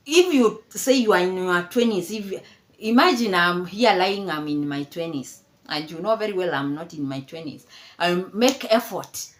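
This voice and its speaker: A woman's voice: fairly low pitch, lively intonation, normal speed. A woman in her fifties from Kenya giving casual, mocking commentary, laughing often and repeating herself. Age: 50s. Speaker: commentary vlogger.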